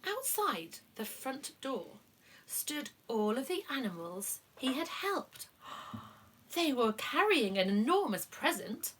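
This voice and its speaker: A woman reading a children's picture book aloud to a young child, expressive, dramatic and encouraging. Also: high voice, slow pace, slightly rough.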